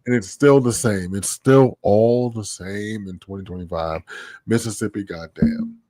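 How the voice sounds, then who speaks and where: Blunt low-voiced talk show host. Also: male voice, a little slow, warm tone, no room sound. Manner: blunt, emphatic, swears. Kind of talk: live-stream talk show.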